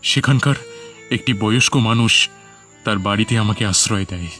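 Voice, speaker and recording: A man's voice: on the low side, moderate pace, fairly bright tone. A man narrating a scripted first-person horror story in a dramatic, suspenseful manner. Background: music under the voice.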